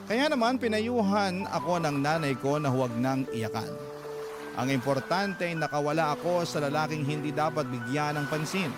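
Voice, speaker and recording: Higher-voiced Filipino male storyteller. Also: moderate pace. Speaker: radio drama narrator reading a listener's letter. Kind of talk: scripted first-person storytelling. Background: music bed.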